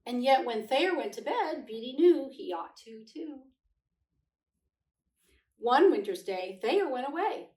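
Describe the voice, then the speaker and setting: A middle-aged woman reading aloud to children as a host, with gentle, expressive delivery. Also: sits high, measured pace, pauses often. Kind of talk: storybook read-aloud with casual chat. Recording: echoey room, distant microphone.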